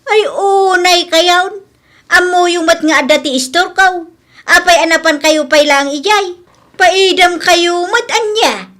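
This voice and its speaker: A woman's voice: extremely high pitch, unhurried, a bit bright. A woman playing a bossy, grumbling, money-minded older landlady in a radio comedy drama, scolding and nagging emphatically. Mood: indignant scolding.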